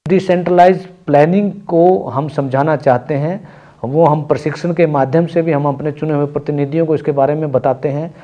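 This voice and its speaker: Mellow, higher-voiced middle-aged male official. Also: fairly even intonation, moderate pace, dull audio. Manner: formal, didactic. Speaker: training institute official. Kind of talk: prepared address to camera. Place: office, indoors.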